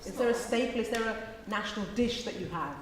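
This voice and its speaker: A woman facilitating a group discussion with students, encouraging and asking quick questions. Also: mid-range voice, expressive, a little hiss or hum. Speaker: workshop facilitator. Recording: echoey hall with chattering crowd.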